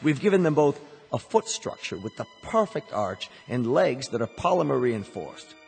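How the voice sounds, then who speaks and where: An actor playing a smooth company salesman in a radio drama, with polished, reassuring patter. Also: medium pitch, sing-song, normal speed, darkish tone. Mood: proud, selling. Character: smooth persuasive salesman of replacement android bodies. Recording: hall reverb with music behind.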